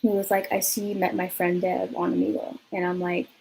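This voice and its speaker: A woman's voice: fairly low pitch, level tone, a little fast, a little mellow, slight background noise, low-quality recording. Chatty young woman telling a story. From California. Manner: chatty, lots of fillers and swearing. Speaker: vlogger. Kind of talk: casual storytime vlog.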